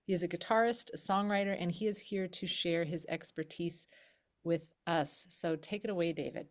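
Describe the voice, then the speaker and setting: A woman's voice: lowish voice, steady tone, normal speed. Woman host introducing a guest. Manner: brief, scripted introduction. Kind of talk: event introduction.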